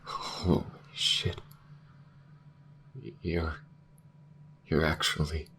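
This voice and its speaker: A man playing a brash, sweary space smuggler in a science fiction audio drama, talking in halting, emotive phrases. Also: a bass voice, little pitch movement, sharp tone, a little hiss or hum, full character voice. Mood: stunned.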